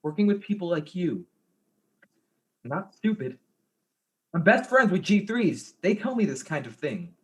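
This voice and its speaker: High male voice, goofy jealous boyfriend a man acting, earnest, theatrical, easily flustered, comic mystery dialogue. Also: very animated, a little slow, long pauses, fairly bright tone, heavily acted. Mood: accusing. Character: insecure, earnest, jealous fiancé, goofy and apologetic. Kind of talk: stage-play dialogue in a darkly comic mystery.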